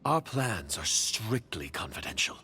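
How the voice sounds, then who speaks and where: Suspicious secret agent villain, fairly low, very animated intonation, formal, anime game. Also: male voice, a little slow, a little hiss or hum, full character voice. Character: wary, suspicious agent of a secret foreign faction. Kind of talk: scripted anime video game voice acting.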